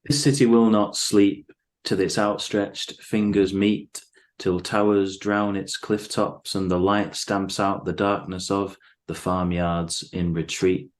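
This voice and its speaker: A man speaking as a guest poet, reading verse and chatting casually about it. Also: low-pitched. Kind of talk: poetry reading and casual chat.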